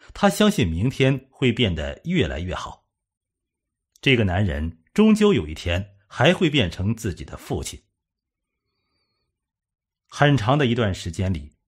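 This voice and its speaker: A man reads a suspense novel aloud as a dramatic narrator. His voice sits fairly low and has a rough, husky grain. The delivery is solemn, with extremely animated rises and falls in pitch. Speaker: audiobook narrator.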